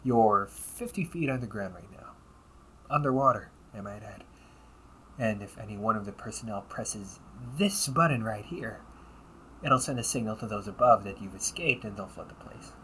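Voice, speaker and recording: A man performs a demon-hunting officer for an audio roleplay, courteous and quietly smug. His voice sits a little low but has a bright, crisp edge. He speaks in measured, teasing phrases and slips into breathy asides, over a faint bed of background noise. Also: lively intonation, rough recording. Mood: cautioning, calm. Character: wry, politely smug demon-hunting officer, calm and apologetic. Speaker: amateur voice actor. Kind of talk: audio roleplay, one-sided dialogue addressed to the listener.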